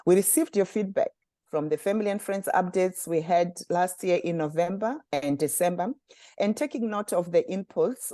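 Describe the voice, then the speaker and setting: A woman from Zimbabwe hosting a community webinar, reading formal prepared remarks in a measured way. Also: lowish voice, mellow, no room sound, studio quality. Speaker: communications director and webinar host. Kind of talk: scripted webinar introduction.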